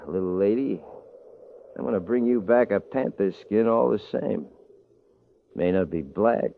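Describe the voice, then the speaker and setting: An American actor playing an arrogant, bullying cowboy rancher in a radio Western, with a folksy drawl and rough grammar. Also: male voice, on the low side. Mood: mocking. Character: arrogant, bullying, leering Western rancher man, mocking and short-tempered. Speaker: actor and first-person narrator. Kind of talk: old-time radio drama acting, rustic Western dialect. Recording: dull vintage radio recording with music behind.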